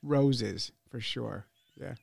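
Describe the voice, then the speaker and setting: A man's voice: mid-range voice, drawn-out words, creaky, darkish tone, slightly rough, no echo. A man hosting a podcast, chatting casually and laughing often at his own jokes. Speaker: podcast host. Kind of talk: casual chat.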